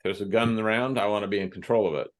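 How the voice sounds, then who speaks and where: A man on a podcast as a guest author and firearms instructor, telling stories from his classes in a thoughtful, measured way. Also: fairly low pitch, steady tone.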